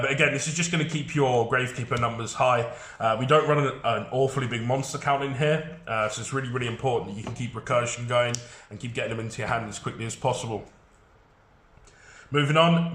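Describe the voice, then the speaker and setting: A man's voice: medium pitch, a bit bright, roomy sound. A man with a head cold, sounding congested, chatting casually through a card game deck with frequent fillers.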